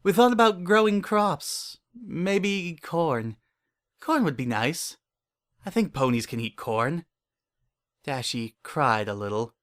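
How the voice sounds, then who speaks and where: A man's voice: on the high side, expressive, slow pace, fairly bright tone. A man plays an unhinged narrator telling his own horror story in the first person. He is obsessive and paranoid, with an earnest, oddly childlike way of putting things and a brooding undertone. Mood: subdued, uneasy. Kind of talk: first-person dramatic horror fan fiction reading.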